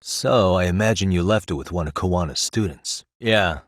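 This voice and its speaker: A man's voice: low-pitched, normal speed, dry recording. A man with an American accent voicing an easygoing, principled detective in a video game, talking in a dry, wry way as he reasons things through. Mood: probing, measured. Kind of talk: video game voice acting, conversational dialogue.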